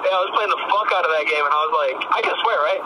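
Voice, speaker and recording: A man's voice: on the high side, racing, noisy background. A young American man from Michigan chatting casually as an interview guest, laid-back, slangy and loose in his phrasing. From Michigan, United States. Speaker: musician being interviewed. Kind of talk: casual chat.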